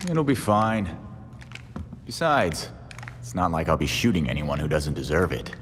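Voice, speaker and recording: A man playing a brooding, sardonic young vigilante in a video game, gruff and terse with a dry, casual delivery. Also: deep voice, sing-song, a little slow. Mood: dry, stubborn. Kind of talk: naturalistic video game acting. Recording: reverberant room with faint noise.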